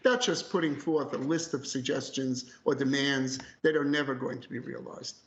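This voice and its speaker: A man's voice: mid-range voice, moderate pace. Emphatic male analyst in an echoey room. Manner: emphatic, opinionated, direct. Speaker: academic analyst, interview guest. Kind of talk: live news analysis, conversational. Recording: roomy echo, slightly muffled call audio.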